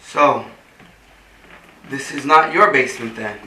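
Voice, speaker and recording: Bright-voiced awkward, deadpan man played by an actor. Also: medium pitch. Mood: gently flirtatious. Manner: hesitant, halting, dryly joking. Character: awkward, deadpan, quietly flirtatious male newcomer to support group. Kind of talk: staged play reading, naturalistic dialogue. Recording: roomy, distant mic with faint noise.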